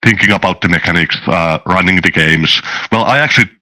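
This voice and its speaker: A man chatting casually as a guest, halting and thoughtful, often repeating words as he goes. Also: deep voice, bright, forceful tone, poor audio. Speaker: interview guest, tabletop game master. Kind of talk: casual chat.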